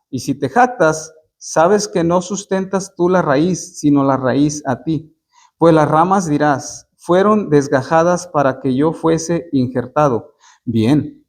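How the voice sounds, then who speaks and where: A man preaching a Bible sermon, reading verses slowly and explaining them in plain words, often stopping to repeat and restart words. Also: on the high side, darkish tone. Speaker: Baptist preacher.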